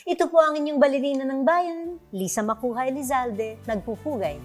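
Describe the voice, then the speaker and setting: Higher-voiced Filipino woman hosting. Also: a little fast, soft background music. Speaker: talk show host. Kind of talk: hosting an arts talk show.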